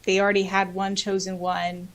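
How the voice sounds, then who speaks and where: A woman with a mid-pitched voice co-hosts a podcast. Her delivery is expressive and bubbly, moving between casual chat and reading out comments from listeners. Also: moderate pace, low-quality recording. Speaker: podcast co-host.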